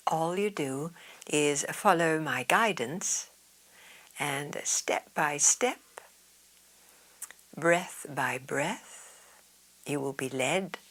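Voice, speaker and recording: A woman yoga teacher leading a guided meditation in a soft, soothing, half-whispered way. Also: low-pitched.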